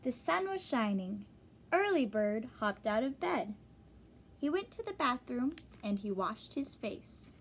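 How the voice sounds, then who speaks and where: A woman teacher reading a children's storybook aloud, pausing to explain and ask young listeners questions. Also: on the high side, sing-song, a little hiss or hum.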